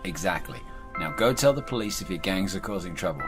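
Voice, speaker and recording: An actor playing a weary, reluctant soldier on the run in an audio drama, speaking curtly and dismissively. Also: male voice, low voice, expressive, a little fast. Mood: dismissive, sardonic. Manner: curt, dismissive. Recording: music playing behind the voice.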